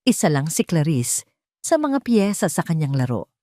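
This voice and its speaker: A woman's voice: deep voice, very animated, normal speed, mellow, no echo, studio quality. A woman narrating a scripted love story in a dramatic storytelling style.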